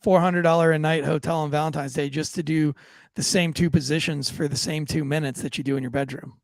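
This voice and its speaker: A man's voice: on the high side, fairly even intonation, fairly quick, gravelly, no echo, clean studio sound. A man co-hosting a podcast, chatting loosely with jokes and swearing, and reading a sponsor ad with many restarts. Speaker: podcast co-host. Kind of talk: casual podcast banter and ad read.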